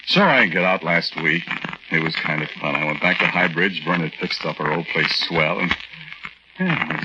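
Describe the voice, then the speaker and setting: An American actor playing a nervous war veteran who tells his strange tale in halting, colloquial, confiding narration for a 1940s radio drama. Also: low voice. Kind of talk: 1940s radio drama monologue, conversational first-person narration. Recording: noisy, muffled 1940s radio recording with clicks.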